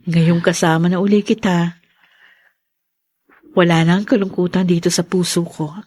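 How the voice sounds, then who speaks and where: A woman's voice: fairly low pitch, steady tone, slow, pauses often, darkish tone, husky, no room sound. An actress playing an earnest, sentimental young Filipino woman in a radio drama, once heartbroken and now tender. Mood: tender, grateful.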